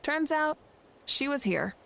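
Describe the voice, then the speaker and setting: Casting: game's female leader, slightly high-pitched, self-assured, calm, gently wry. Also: slow pace, creaky, heavily acted. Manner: composed, wryly amused. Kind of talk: video game voice acting.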